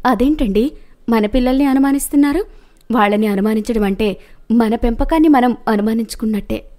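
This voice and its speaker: A woman's voice: on the high side, a little fast, warm tone, close to an everyday voice. Woman acting a concerned, devoted landlord's wife. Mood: taken aback. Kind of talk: animated moral story dialogue.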